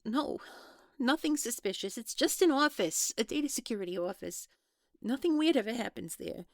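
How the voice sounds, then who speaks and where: A woman's voice: on the high side, expressive, no room sound. Woman acting guarded, hesitant young woman, dry close sound. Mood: agitated, defensive. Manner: hesitant, halting, trailing off, nervous laughs. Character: guarded young woman, defensive, awkward, newly in love. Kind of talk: naturalistic one-sided audio roleplay acting.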